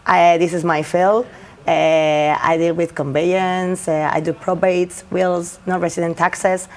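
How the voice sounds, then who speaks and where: A young woman with a Spanish accent talks through her career in English, her second language. Her voice sits fairly low for a woman, and she pauses often with fillers and restarts. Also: little pitch movement. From Spain. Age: young adult. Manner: hesitant, many fillers and restarts. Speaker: lawyer guest. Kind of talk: interview answers.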